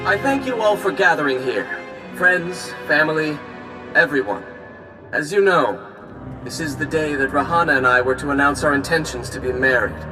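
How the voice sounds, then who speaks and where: A man's voice: fairly high pitch, expressive, moderate pace, music in the background, natural voice. An actor playing an earnest, hesitant young Jedi nobleman in a video game, formal and apologetic. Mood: solemn. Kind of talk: video game cutscene acting.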